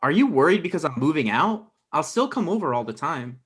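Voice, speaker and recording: A man's voice: on the high side, very animated, brisk. A man in his thirties playing a flustered, exasperated boyfriend in a comedy table read on a video call, protesting and stammering.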